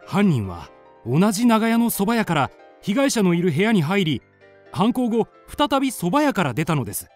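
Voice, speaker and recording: Earnest young male amateur sleuth, radio drama; very expressive, deliberate, polite, slightly high voice. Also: soft background music. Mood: confident accusing. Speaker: narrator and lead character. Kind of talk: radio drama acting and narration.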